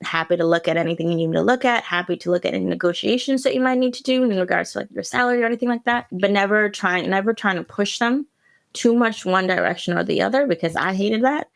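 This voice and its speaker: A young woman from South Sudan, talking casually as a guest, quick and full of restarts. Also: mid-range voice, vocal fry. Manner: quick, with frequent restarts and laughs. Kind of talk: casual interview answer.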